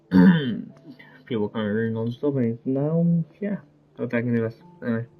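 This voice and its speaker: A gamer giving casual commentary while playing, rambling and halting with many restarts. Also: mid-range voice, expressive, phone-quality sound. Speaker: gamer playing through a story quest. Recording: gameplay capture with faint game music.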